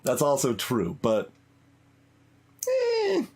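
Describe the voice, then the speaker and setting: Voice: medium pitch, wildly swooping pitch, very slow, a little mellow. A video game streamer giving casual playthrough commentary, musing and hesitant as they think aloud. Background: game music.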